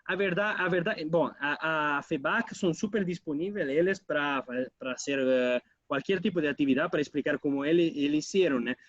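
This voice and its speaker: A man's voice: fairly high pitch, moderate pace, hardly any pauses, a bit bright, no room sound, dull audio. A man from Italy, a guest on a radio interview who talks hesitantly with many fillers and restarts. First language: Italian.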